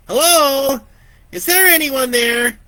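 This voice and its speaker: A man voicing an adult woman emergency dispatcher, measured and official. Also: very high-pitched, pushed and bright, no room sound, pitched above the performer's own voice, full character voice. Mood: businesslike.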